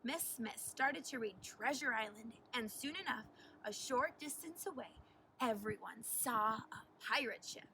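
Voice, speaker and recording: A woman reading a children's story aloud like a teacher, deliberate and animated, recorded at a distance with faint noise. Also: a little high. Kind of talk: expressive children's storybook narration.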